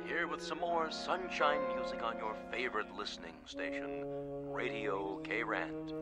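An actor playing a smooth-talking male radio disc jockey in a radio drama. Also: medium pitch, expressive, unhurried, natural voice. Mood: sunny. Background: music behind the voice.

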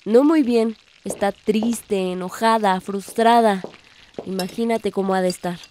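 An actress with a Mexican accent playing a bold, idealistic young daughter in a radio drama. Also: female voice, mid-range voice, unhurried, dark tone, a little hiss or hum, close to an everyday voice. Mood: concerned.

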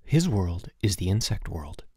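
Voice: mid-range voice, big pitch swings, normal speed, a little mellow, no echo, clean studio sound. A narrator voicing a mischievous schoolboy troublemaker with a bold, theatrical, mock-solemn delivery in a storybook.

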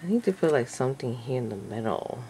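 A woman with a croaky voice, feeling like she's getting sick, musing aloud and gushing over her craft project at a table indoors. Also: a bass voice, lively intonation, fast talker, warm tone, slight background noise, rough recording. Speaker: craft channel host. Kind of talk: casual crafting commentary.

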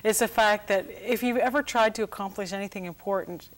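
A middle-aged woman hosting a live TV call-in show, brisk and chatty as she brings in callers. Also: medium pitch. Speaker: talk show host. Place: TV studio set, indoors.

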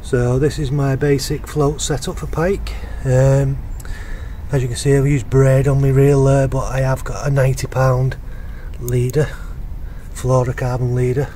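A man's voice: medium pitch, unhurried, slight background noise. Chatty northern man outdoors. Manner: relaxed, chatty, plain-spoken. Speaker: angler showing a fishing rig. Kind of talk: casual how-to vlog. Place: outdoors by a lake. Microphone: camera mic.

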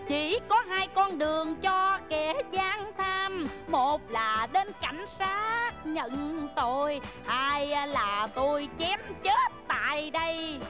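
High-voiced, bossy, scolding Southern Vietnamese woman. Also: expressive, slow pace, few pauses. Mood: threatening. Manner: scolding, exclaiming, repetitive. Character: loud, bossy, quarrelsome woman threatening to chop a cheat. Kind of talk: comic stage sketch acting. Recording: music behind the voice.

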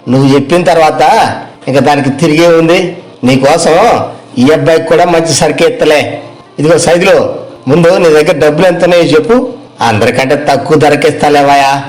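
A man playing a brisk, friendly wholesale vegetable trader with colloquial bargaining patter in a moral story. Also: on the high side, natural voice, poor audio. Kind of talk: acted moral story dialogue.

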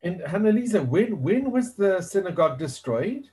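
A man interviewing over a video call, gently repeating and rephrasing his questions. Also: sits high, very animated, moderate pace.

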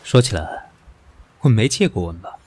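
Brief: an aloof, sharp-tongued boss, low voice, very lively inflection, soft music. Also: male voice, normal speed. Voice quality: dry and cutting. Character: cool, sharp-tongued older man, a company boss. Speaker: voice actor. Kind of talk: audio drama acting.

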